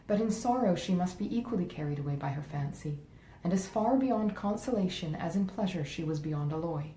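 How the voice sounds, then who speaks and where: Mellow, expressive woman narrator in a roomy space. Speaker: author reading aloud. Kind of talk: expressive audiobook narration, read live. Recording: roomy echo, faint rumbling noise.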